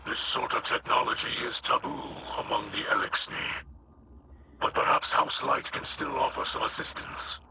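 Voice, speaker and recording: A man voicing a grave, weary alien captain and mystic in a video game, slow and formal, with a gravelly, breathy growl. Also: noisy background, natural voice. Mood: measured concern. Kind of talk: dramatic video game acting.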